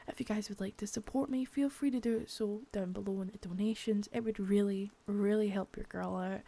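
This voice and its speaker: A woman's voice: mid-range voice, vocal fry, dark tone. Brief: woman ASMR creator, casual and friendly, now and then whispering. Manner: chatty, friendly, quick, slips into whispers. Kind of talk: ASMR video intro.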